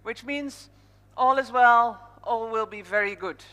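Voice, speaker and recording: A woman's voice: on the high side, unhurried, sharp tone. A woman from the Netherlands with a Dutch accent, speaking informally as master of ceremonies in a live conference opening address. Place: large indoor conference hall, on stage. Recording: echoey large hall reverb over PA.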